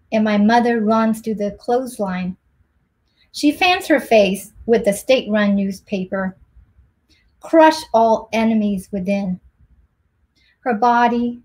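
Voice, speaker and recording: A middle-aged woman from Myanmar on a video call from her home office, introducing and reading her poems in a measured, soft-spoken way. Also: on the high side, low-quality recording. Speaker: poet.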